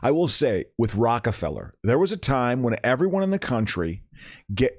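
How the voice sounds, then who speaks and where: A man's voice: mid-range voice, sing-song, normal speed, no room sound, clean studio sound. A man hosting a casual comedy podcast, rambling and stammering through stories, laughing often. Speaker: podcast host.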